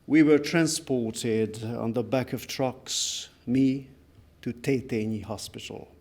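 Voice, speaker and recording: A man giving a dramatic literary reading of a first-person account, solemn and deliberate, with weighty pauses. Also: mid-range voice, a little mellow. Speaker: reader at a book launch.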